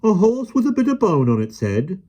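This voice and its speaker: A man's voice: high-pitched, huge pitch swings, moderate pace, mellow, muffled recording, voice pushed up, heavily acted. A man reading a storybook aloud voices a blunt, disbelieving policeman in a raised, incredulous tone.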